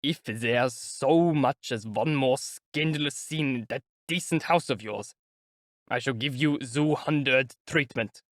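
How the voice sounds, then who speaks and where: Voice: medium pitch, takes it slowly, no echo, clean studio sound, natural voice. A reader voicing a hot-tempered police lieutenant who blusters and scolds. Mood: stern. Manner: shouting, blustering. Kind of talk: audiobook character voice.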